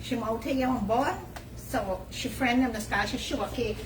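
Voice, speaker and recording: A woman's voice: fairly high pitch, moderate pace, noisy background. An older woman, telling stories and giving cooking tips in a home kitchen. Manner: storytelling, instructive.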